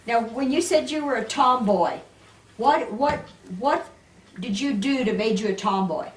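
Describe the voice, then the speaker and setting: A woman's voice: on the high side, measured pace. A woman conducting a casual interview, asking simple, curious follow-up questions. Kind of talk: casual oral history interview. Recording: echoey room, distant microphone.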